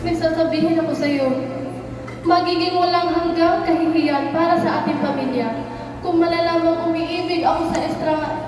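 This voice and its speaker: Woman playing young folk-tale daughter, high-pitched, dramatic, radio play, music behind, very echoey. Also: steady tone, normal speed, recorded from a distance, noisy background. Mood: earnest confession. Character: young maiden of legend, daughter in love, earnest. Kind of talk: amateur radio drama acting.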